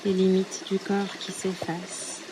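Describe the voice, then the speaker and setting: A woman leading a guided yoga nidra meditation in a soothing, unhurried way, with short phrases and long pauses. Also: mid-range voice, completely flat delivery, background noise. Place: outdoors at a riverbank. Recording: outdoor recording with loud running river water. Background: flowing, trickling stream water.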